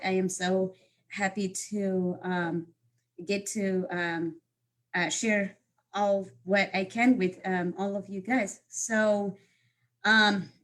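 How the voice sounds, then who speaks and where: A woman, telling her life story as a guest speaker on a video call, pausing often with fillers and restarts. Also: medium pitch. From Morocco. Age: 30s. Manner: halting, many fillers and restarts. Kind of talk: personal life story talk.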